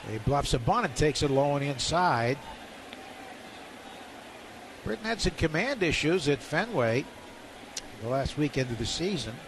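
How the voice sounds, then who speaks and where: A man with a fairly high voice calls a baseball game live on the radio. He works from a stadium booth, with clear outdoor ambience and noise around him. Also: very animated, measured pace, pauses often. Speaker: baseball play-by-play announcer. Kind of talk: live radio play-by-play commentary.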